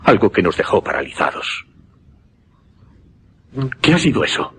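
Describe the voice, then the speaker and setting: Grave horrified gentleman in a spooky radio play, castilian spanish, deep voice, halting and very dramatic, bit of noise. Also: very animated, exaggerated voice. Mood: terrified. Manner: dramatic, halting, dwelling on each dreadful word. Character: grave, horrified gentleman witness recounting a ghastly death. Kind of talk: suspense radio drama acting.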